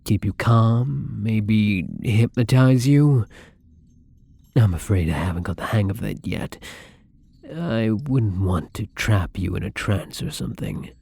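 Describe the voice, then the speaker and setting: A man acts out a gentle fledgling vampire for an ASMR roleplay, hungry yet apologetic. The voice is very breathy and mellow, close and dry, often sinking to a whisper. He feels his way through each polite, hesitant phrase. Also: on the low side, no echo, full character voice. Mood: flustered, apologetic. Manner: hesitant, halting, polite, soft-spoken. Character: shy, gentle, newly turned male vampire, apologetic and hungry. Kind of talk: intimate ASMR roleplay acting.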